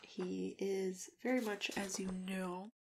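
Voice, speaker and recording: A woman in a soft-spoken ASMR role-play, often dropping into a whisper, confiding gently with pauses and small murmurs as she chats like a matchmaker. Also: medium pitch, steady tone. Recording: clear background noise with keyboard typing. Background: typing and clicking.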